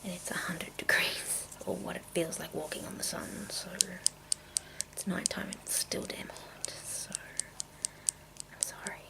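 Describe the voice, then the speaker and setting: Hushed, whispering woman, slow and creaky. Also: lowish voice, steady tone, poor audio. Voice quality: breathy, mostly whispered. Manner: hushed, unhurried, halting. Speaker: ASMR video creator. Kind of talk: ASMR, rambling product show-and-tell.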